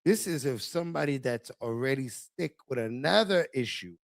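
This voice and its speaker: A man's voice: medium pitch, expressive, moderate pace, vocal fry, dry recording, clean studio sound. A man leading a Bible study, calling for verses to be read and explaining each one with frequent "right?" checks. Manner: directs the reading, explains with repeated checks. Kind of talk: casual Bible study teaching.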